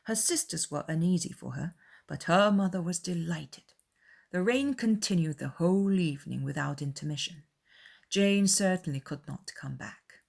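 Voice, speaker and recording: A woman's voice: lowish voice, animated, moderate pace, vocal fry, darkish tone. A woman reading scripted literary narration as the narrator of a dramatized audiobook.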